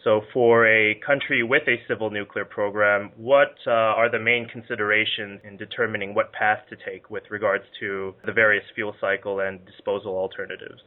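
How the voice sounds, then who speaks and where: A man with a low voice hosts a podcast, reading formal introductions and questions in a polite, scripted way. His voice comes over a thin, phone-like channel. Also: flat delivery, moderate pace, hardly any pauses. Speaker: podcast host. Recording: tinny phone-like line.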